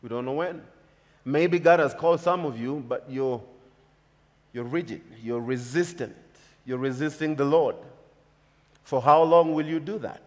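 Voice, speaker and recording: A man's voice: a little high, a little slow, long pauses. A man in his thirties with a Kenyan accent, preaching a sermon from a church pulpit in an emphatic, repetitive cadence. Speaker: pastor. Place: church stage with large hall. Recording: long reverb of a church hall.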